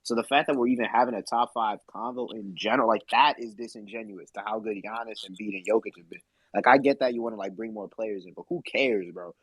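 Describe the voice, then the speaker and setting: A man's voice: on the low side, fast talker, husky, no echo, rough recording. A man hosting a casual discussion, talking emphatically and bluntly dismissing other views. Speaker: discussion host.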